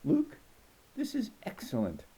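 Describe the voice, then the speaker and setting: Man playing a patient male schoolteacher, lifted pitch. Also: wildly swooping pitch, breathy edge, exaggerated voice. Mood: approving. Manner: measured, gently correcting.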